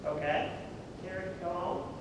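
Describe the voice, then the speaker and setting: Stage comedy: actor playing a sardonic, put-upon man, high-pitched voice, heard from far off in a hall. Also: steady tone, takes it slowly. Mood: puzzled. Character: exasperated, sardonic man humouring a silly joker. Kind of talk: amateur stage comedy acting. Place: theatre stage, picked up from afar. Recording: distant, echoey theatre hall, clear noise.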